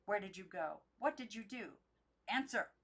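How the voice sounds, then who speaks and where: A woman voicing a stern, anxious old aunt from a storybook, sharp and commanding as she scolds. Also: medium pitch, lively intonation, moderate pace. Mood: demanding.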